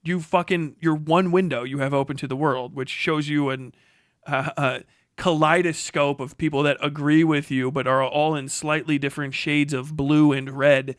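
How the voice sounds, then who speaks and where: American male livestream commentator, higher-pitched voice, dry close mic, combative and foul-mouthed. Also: a bit bright, dry recording. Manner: profane, blunt and combative. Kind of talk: unscripted political reaction rant on a livestream.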